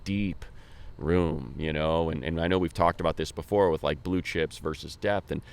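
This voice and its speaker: A man's voice: extremely low pitch, moderate pace, vocal fry, smooth. A man co-hosting a sports show, giving casual, colloquial commentary and rambling as he thinks aloud. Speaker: sports co-host and play-by-play announcer. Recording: faint background noise.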